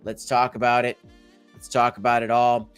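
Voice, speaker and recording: A man hosting a casual crypto livestream, hoarse and losing his voice, joking and repeating himself while talking to his chat. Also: medium pitch, monotone, moderate pace, faint music behind. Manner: jokey, repeats phrases, talks to chat. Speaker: crypto show host.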